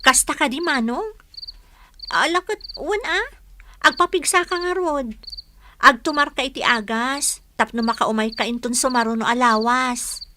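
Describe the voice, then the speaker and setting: Casting: actress for high-voiced, lively young village woman, best-friend role in an Ilocano radio comedy. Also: normal speed, noisy background, lightly acted. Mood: concerned, eager.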